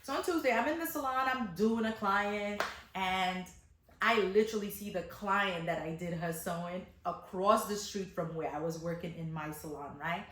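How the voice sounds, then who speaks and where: A woman telling a casual, animated story to viewers, retelling events dramatically as a hairstylist. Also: medium pitch, normal speed, echoey room, slight background noise, low-quality recording. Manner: dramatic retelling, acting out dialogue.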